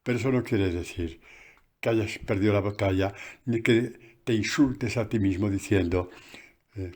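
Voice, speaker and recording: Husky-voiced priest preaching casually. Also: male voice, medium pitch. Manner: conversational, animated preaching with asides. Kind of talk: sermon catechesis. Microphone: phone.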